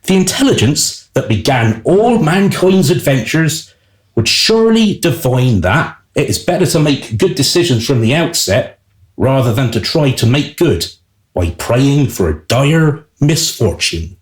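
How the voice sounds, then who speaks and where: Man acting a wry, animated storyteller, echoey. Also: mid-range voice. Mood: wry, reflective. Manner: storytelling, arch, deliberate. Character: wry, self-serving male servant narrating his tale. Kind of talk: scripted radio play narration.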